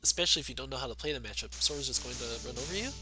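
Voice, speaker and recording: Quiet, low-voiced young man commentating. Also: racing. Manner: laid-back, chuckles often between remarks. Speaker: tournament commentator. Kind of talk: casual live game commentary. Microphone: desk mic turned to max. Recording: live stream with game music behind. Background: game music playing.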